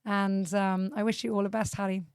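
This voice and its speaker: A woman's voice: medium pitch, level tone, brisk, a little mellow, clean tone, no room sound, studio quality. A young woman hosting a casual interview podcast, chatty, upbeat and teasing. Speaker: podcast host.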